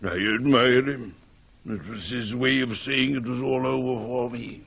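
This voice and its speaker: Melodramatic failed poet, husky gravelly voice, bitter, wounded pride, radio play, muffled sound. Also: male voice, medium pitch, fairly bright tone, heavily acted. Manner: melodramatic, brooding, halting repetitions. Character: despairing, theatrical washed-up male poet, bitter and proud. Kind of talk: radio drama acting. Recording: muffled 1980s radio recording.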